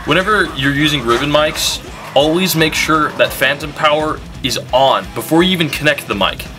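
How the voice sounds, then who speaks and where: A man's voice: a little high, normal speed, music in the background. A young man playing a recording engineer, giving absurd mixing advice in a confident, matter-of-fact way. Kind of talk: deadpan parody advice.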